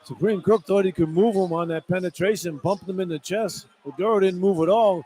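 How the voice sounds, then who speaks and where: A man's voice: high voice, fairly quick, warm tone. A man giving informal, colloquial color commentary on a live basketball radio broadcast from an arena. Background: clear arena noise.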